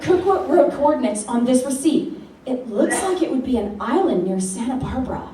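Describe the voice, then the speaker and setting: A woman's voice: sits high, expressive, normal speed, dark tone. A woman playing a plucky, resourceful action sidekick in a comic radio play, perky and matter-of-fact. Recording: echoey hall, far mic, noisy background.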